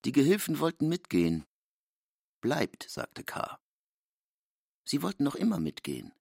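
An actor as a storyteller in a literary radio drama, husky and gravelly, reading expressively in a formal manner. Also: male voice, fairly high pitch, wildly swooping pitch, unhurried, a little mellow, dry recording, heavily acted. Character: expressive male storyteller of a classic novel. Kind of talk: scripted literary narration with character speech. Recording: dry studio with faint music.

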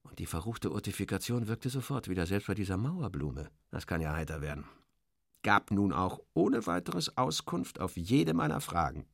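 Male narrator, lowish voice, very animated intonation, wry, clipped, dramatic literary first-person reading. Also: normal speed, vocal fry, no room sound, clean studio sound.

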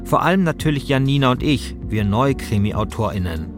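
A man's voice: mid-range voice, lively intonation, normal speed, music in the background. A man hosting a podcast with scripted, conversational narration. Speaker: podcast host and co-author.

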